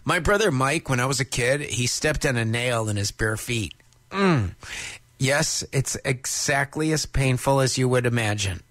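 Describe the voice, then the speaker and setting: A man's voice: medium pitch, lively intonation, moderate pace, no echo. A man in his fifties working as a country radio DJ, chatting casually in a folksy, jokey, conversational way between songs. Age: 50s. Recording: loud music under the voice. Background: music bed.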